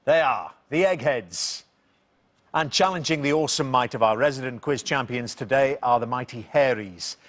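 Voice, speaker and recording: A man's voice: medium pitch, fairly bright tone. Jovial man hosting a TV quiz. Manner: jovial, reads questions deliberately, chuckles at his own puns. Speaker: quiz show host. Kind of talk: TV quiz hosting, scripted intro and banter.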